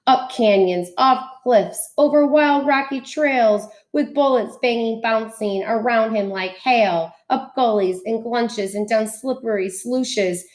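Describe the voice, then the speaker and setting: A woman reads a children's storybook aloud in a dramatic, deliberate rhyming delivery. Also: fairly high pitch, reverberant. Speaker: teacher reading a picture book aloud.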